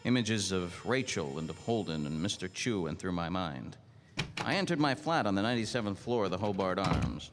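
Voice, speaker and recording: An actor playing a world-weary, hardboiled American detective in a 1940s-style noir radio drama, terse and slangy. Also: male voice, lowish voice, noisy background, lightly acted. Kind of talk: 1940s radio noir acting, narration and dialogue. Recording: music bed under radio drama.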